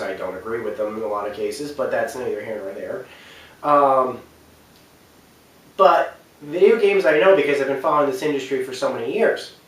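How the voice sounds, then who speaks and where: A man's voice: mid-range voice, fairly bright tone. A man giving an unscripted, opinionated commentary, rambling and backtracking as he makes his points with emphasis. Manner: rambling, hesitant, often backtracking. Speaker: host giving video commentary. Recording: very echoey room, distant from mic.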